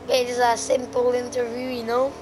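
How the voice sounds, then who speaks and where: A young woman talks her viewers through a driving game in loose, sweary slang. Her voice is hoarse and sits a bit high, and she sounds thoroughly worn out. The recording has a steady noisy hiss under her, with game engine sounds behind. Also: a little fast. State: exhausted and hoarse. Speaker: gaming video creator. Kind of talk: casual gameplay commentary.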